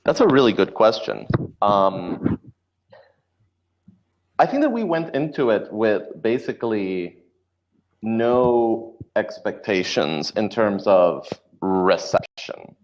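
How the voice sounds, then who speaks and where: A man's voice: on the low side, very animated, takes it slowly. A man chatting in an interview as a guest drama writer, explaining and telling stories in a reflective way. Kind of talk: casual interview chat. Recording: dull lo-fi audio.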